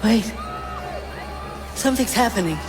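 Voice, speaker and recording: A performer playing an urgent crew member under siege in a sci-fi video game cutscene. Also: female voice, on the high side, expressive, unhurried, raised voice, big-room sound, over music, a crowd in the background. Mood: alarmed.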